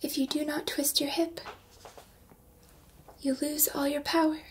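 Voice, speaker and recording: A woman playing a calm, exacting combat robot trainer in soft, often whispered ASMR roleplay, with formal, precise instructions. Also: sits high, unhurried, a little hiss or hum. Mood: calm, instructive.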